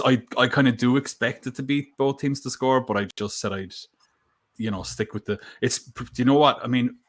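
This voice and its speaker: A man co-hosting a live betting stream, thinking aloud in a casual way, with lots of fillers and restarts. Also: fairly low pitch. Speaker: co-host and betting tipster.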